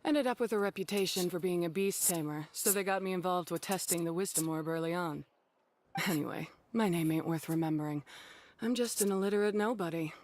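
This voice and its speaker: A woman's voice: fairly low pitch, a little fast, a little mellow, slight background noise, natural voice. A woman playing a blunt, matter-of-fact, self-deprecating beast tamer in a video game. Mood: self-deprecating, casual. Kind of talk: English video game voice acting. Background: game music.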